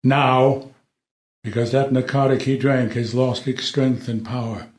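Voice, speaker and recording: Slow, sorrowful old king read by a man. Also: mid-range voice, fairly even intonation, darkish tone, lightly acted. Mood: woeful. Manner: grave, formal, lamenting. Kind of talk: unrehearsed play reading. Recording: roomy, echoey live room.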